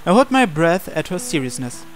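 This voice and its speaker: Higher-voiced young man reading aloud. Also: sing-song, fairly quick. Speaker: host reading a visual novel aloud. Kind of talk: live read-aloud of narration and dialogue. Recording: music behind the voice. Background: game background music.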